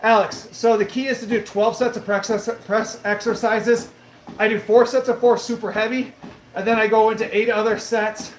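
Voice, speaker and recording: Cocky guy in his late 30s, higher voice, a little winded from cardio, echoey gym with faint hum. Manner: boastful and brash, swears casually. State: out of breath from treadmill cardio. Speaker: fitness livestreamer. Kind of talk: casual livestream chat reading comments. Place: gym on a treadmill.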